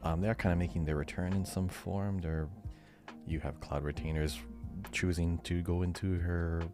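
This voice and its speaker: A man's voice: low voice, fairly even intonation, normal speed. A man co-hosting a podcast and running a quiz in casual, conversational talk. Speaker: podcast co-host and quizmaster. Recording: faint music behind the voice. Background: faint music.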